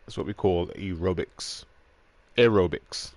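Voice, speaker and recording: A man's voice: deep voice, unhurried, no echo. A man giving casual live commentary as he streams his own gameplay. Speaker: game streamer. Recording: loud game music behind the voice.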